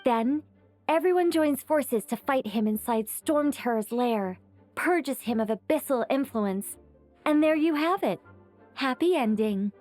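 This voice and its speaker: A woman voicing a cautious, wry female angel in a video game, speaking in a measured, matter-of-fact way. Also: sits high, expressive, darkish tone, soft background music. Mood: explanatory. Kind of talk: animated game dialogue.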